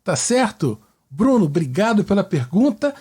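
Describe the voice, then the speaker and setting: A man with a Carioca Brazilian accent and a husky, fairly high voice talks like a teacher hosting his own show. His tone is mellow, and he explains things conversationally, often checking that the listener follows. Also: big pitch swings, moderate pace. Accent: Carioca Brazilian Portuguese. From Rio de Janeiro, Brazil. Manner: didactic, with frequent tag questions seeking agreement. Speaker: podcast host and mathematics teacher.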